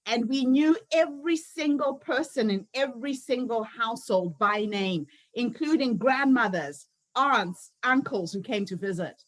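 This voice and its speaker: A woman in her fifties speaks in a notably high voice. She delivers an earnest motivational talk as a summit founder, weaving personal stories through it. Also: measured pace, hardly any pauses, poor audio. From Zimbabwe. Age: 50s. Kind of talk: motivational speech.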